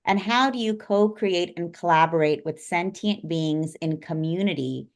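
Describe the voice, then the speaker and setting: A woman with a notably low voice moderates an online panel. She affirms each speaker before reading out audience questions. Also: normal speed.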